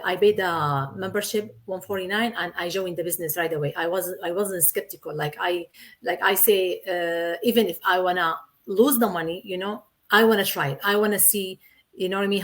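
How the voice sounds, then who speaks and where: A woman from Syria in her thirties, a guest in a casual interview, talking earnestly in simple, repetitive English. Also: medium pitch. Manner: earnest, repeats herself, simple non-native English.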